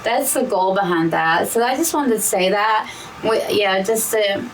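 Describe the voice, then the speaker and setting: A hoarse woman, her voice worn from talking, chats casually as co-host and rambles through restarts and asides. She sits a little back from the microphone, and a faint hiss runs beneath her. Her pitch sits in a middle range. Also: measured pace, far from the mic, low-quality recording. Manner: rambling, with frequent restarts and "you know". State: hoarse, losing her voice from talking too much. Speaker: co-host of a diamond painting channel. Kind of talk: casual chat.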